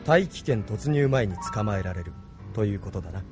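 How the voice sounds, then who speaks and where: A man's voice: on the low side, expressive, a little fast, over music. A man playing a serious, thoughtful young resistance leader in a Japanese audio drama. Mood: pensive, determined.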